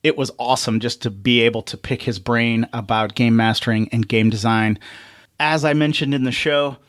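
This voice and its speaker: A man's voice: medium pitch, steady tone, normal speed, no room sound, studio quality. A man hosting a podcast in a conversational style, speaking directly to guest and listeners. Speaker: podcast host.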